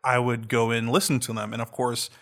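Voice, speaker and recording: A man's voice: medium pitch, level tone, a bit bright. A man co-hosting a podcast and producing audio dramas, talking casually and stopping often to restart his sentences. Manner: halting, with many restarts and fillers.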